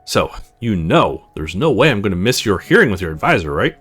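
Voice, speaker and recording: A man's voice: on the low side, sing-song, a little fast, fairly bright tone, slightly rough, voice pushed down, exaggerated voice. A man voicing a protective, teasing young sibling in a visual novel, in a casual, playful way. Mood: teasing, affectionate.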